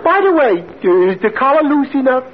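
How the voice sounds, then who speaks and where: An actor playing a cocky, oblivious Spanish bridegroom villain in an old radio comedy, nonchalant and needling with hesitant openings. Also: male voice, extremely high pitch, sing-song, a little fast, a faint crowd. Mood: smug. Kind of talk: 1950s radio comedy acting. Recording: tinny old radio recording, studio audience noise.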